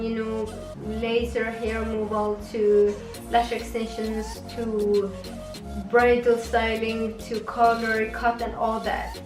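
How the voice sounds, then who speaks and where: A woman's voice: on the high side, reverberant, recorded from a distance, poor audio. Chatty vlogger woman with a Norwegian-Kurdish accent. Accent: mixed Norwegian and Kurdish. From Kurdistan, raised in Norway. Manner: chatty, hesitant with fillers. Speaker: beauty vlogger. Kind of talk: casual chat to camera.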